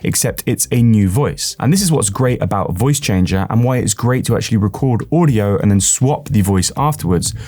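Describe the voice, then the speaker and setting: A man presenting an instructional software walkthrough, explaining step by step and stressing the openings of his phrases. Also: lowish voice, lively intonation, moderate pace, few pauses. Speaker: tutorial presenter.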